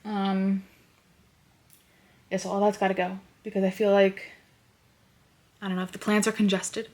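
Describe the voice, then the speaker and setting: A woman's voice: medium pitch, level tone, moderate pace, darkish tone. A young woman with a stuffy, congested nose, chatting casually in her bedroom and rambling with lots of fillers. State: congested, sniffly. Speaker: lifestyle vlogger. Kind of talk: casual vlog chat. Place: bedroom at home.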